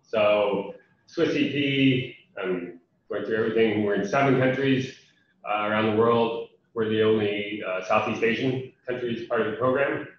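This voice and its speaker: Halting American man on a call. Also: lowish voice, steady tone, slight background noise. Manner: halting, many fillers. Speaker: program representative. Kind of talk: webinar presentation. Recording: echoey, dull, distant online call audio.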